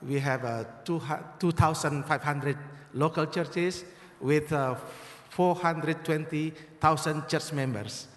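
A man with an Indonesian accent greeting a church congregation as a visiting guest, halting, with many fillers and restarts. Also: fairly high pitch, a little hiss or hum. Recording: reverberant hall with crowd.

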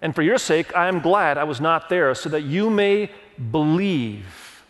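A fairly high-voiced middle-aged man preaches in a church sanctuary, telling personal stories and reading scripture in an earnest way. Indonesian was his first language. His voice carries a long, hall-like reverb. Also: expressive, a bit bright. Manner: earnest storytelling, leans on verses, quick restarts. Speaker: pastor.

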